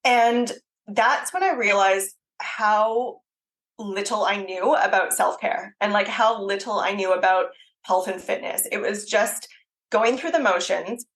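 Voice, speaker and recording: A woman in her thirties from Canada, with a Canadian accent softened by years in Australia, talking casually as an interview guest with plenty of ums and likes. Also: mid-range voice, measured pace, poor audio. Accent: Canadian with some Australian. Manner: chatty, frequent ums and likes, laughs easily. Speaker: guest, fitness coach.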